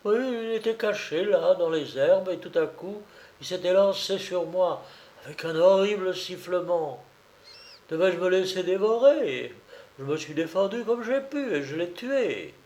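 A man reading a storybook aloud, voicing a cheeky male traveller who protests and lies in excitable, pleading tones. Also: high-pitched, measured pace, voice pushed up. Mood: defensive. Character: cheeky cornered male traveller, brazen liar.

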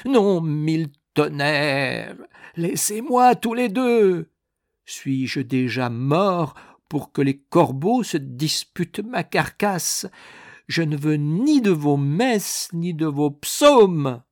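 A man's voice: mid-range voice, steady tone, slow pace, dry recording, clean studio sound. A man voicing a proud, wounded officer on his deathbed, irritable and defiant, speaking with a strained, emphatic exasperation in a dramatic storybook reading. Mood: defiant anger. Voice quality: weak, strained voice.

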